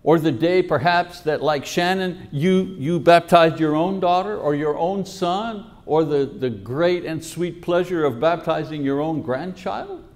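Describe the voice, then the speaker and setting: A man's voice: fairly high pitch, measured pace, hardly any pauses. An older man delivering a sermon at a church lectern, explaining and reading scripture in an earnest teaching manner over a podium microphone. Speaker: preacher.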